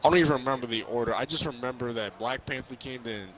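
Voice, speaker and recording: A man casually discussing a film with a friend, halting and repeating phrases as he thinks. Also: mid-range voice, fairly bright tone, poor audio.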